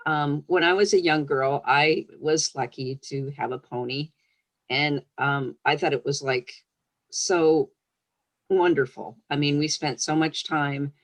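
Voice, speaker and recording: A woman's voice: deep voice, steady tone, a little slow. A woman who is a children's book author, speaking as a guest on a storytime show, reading aloud or chatting casually. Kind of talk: storybook read-aloud, then casual interview answers.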